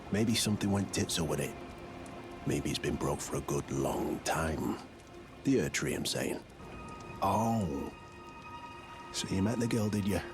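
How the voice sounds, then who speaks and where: Shifty game rogue, working-class English accent, low pitch, brusque slangy delivery, music underneath. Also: male voice. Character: gruff, shifty, foul-mouthed rogue and prawn seller. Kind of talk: video game character acting.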